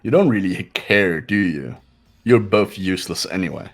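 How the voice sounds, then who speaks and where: A man's voice: sits low, expressive, moderate pace. A man voicing a cold, haughty, condescending young man who gives orders in a fan-voiced visual novel game. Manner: condescending, clipped.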